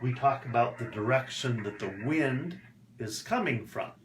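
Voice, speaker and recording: A man teaching in an instructional video, explaining in a deliberate way and enunciating key terms and numbers. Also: medium pitch, lively intonation. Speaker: English teacher. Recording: echoey room with background noise.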